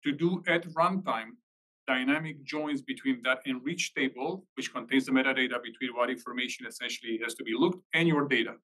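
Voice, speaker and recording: A man's voice: mid-range voice, fairly quick, pushed and bright, poor audio. A man giving an informal technical explanation at a live meetup, rephrasing as he goes. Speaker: technical presenter.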